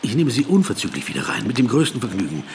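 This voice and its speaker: A man's voice: lowish voice, huge pitch swings, fast talker, a little hiss or hum. An actor playing a meek, flustered writer in a radio play, hesitant and stammering as he tries to placate. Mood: apologetic, flustered. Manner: apologetic, hesitant, stammers and repeats words. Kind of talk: German radio play dialogue.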